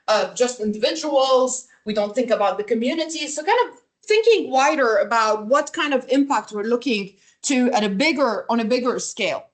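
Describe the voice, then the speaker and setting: A middle-aged woman from Lebanon giving a workshop lecture over slides on a video call, conversational, often checking in with "right?" and filler words. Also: on the high side. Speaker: visiting academic presenting a workshop.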